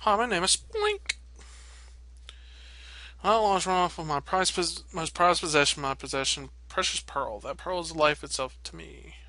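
A man's voice: fairly high pitch, expressive, moderate pace, pushed and bright. A man reading aloud the lines of a small pleading creature from a video game.

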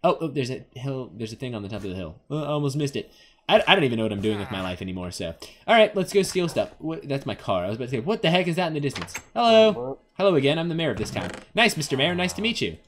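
A man hosts a gaming video with casual, rambling commentary. His pitch swings widely as he jokes and gets excited, sitting around an ordinary middle register for a man. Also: fast talker, slight background noise.

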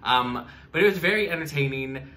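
Bright, halting young man. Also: fairly high pitch, animated. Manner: halting, heavy use of "like". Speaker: reaction video co-host. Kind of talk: casual review chat. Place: small room indoors.

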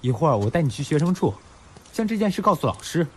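An actor playing a righteous, kind young male university student in a radio drama, with a husky voice. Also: fairly high pitch, big pitch swings, quick pace, slight background noise. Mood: concerned, friendly. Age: young adult. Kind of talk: Mandarin radio drama acting.

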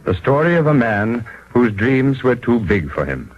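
A man's voice: mid-range voice, very animated, moderate pace. A man giving scripted narration for a 1940s radio drama. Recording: muffled 1940s radio broadcast.

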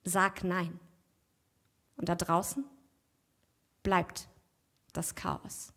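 A young woman performing spoken-word poetry at a stand mic on a theater stage, rhythmic, dropping to a near-whisper on quiet lines. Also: on the low side, level tone, extremely slow. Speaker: poet.

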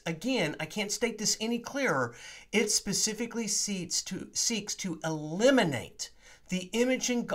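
A man's voice: sits high, a bit bright. Earnest man preaching from a home study. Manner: earnest, emphatic preaching with rising stresses. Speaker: priest. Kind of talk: sermon-style video teaching.

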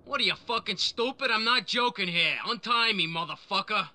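A man's voice: high-pitched, normal speed, sharp tone. A man playing a panicked, foul-mouthed captive thug in a video game cutscene, agitated and demanding. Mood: panicked, angry.

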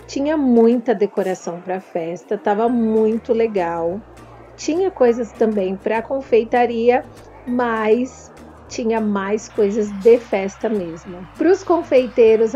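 A woman's voice: on the high side, takes it slowly, mellow, highs cut off, faint music behind. A woman with a Brazilian Portuguese accent, narrating a vlog about a trade fair and talking straight to her viewers in a chatty way. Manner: chatty, addresses viewers directly. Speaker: vlogger and confectioner.

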